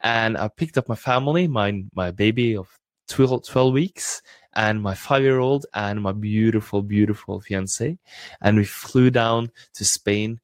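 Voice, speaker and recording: A man's voice: fairly low pitch, a little slow, no room sound. A man with a Norwegian accent giving an enthusiastic motivational live broadcast talk as a coach trainer. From Norway.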